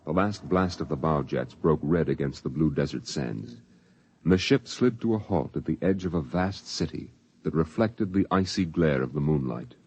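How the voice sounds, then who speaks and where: Very deep, solemn male radio narrator. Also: animated, moderate pace, darkish tone, breathy edge. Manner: dramatic, solemn. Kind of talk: scripted 1950s radio drama narration. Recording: dull vintage radio recording.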